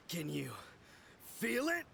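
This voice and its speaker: A man's voice: high voice, very animated, very slow, creaky, fairly bright tone, a little hiss or hum, exaggerated voice. A man playing a bombastic, crazed swordsman obsessed with manliness in a video game, pompous and bellowing. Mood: boastful mania. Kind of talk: video game character acting.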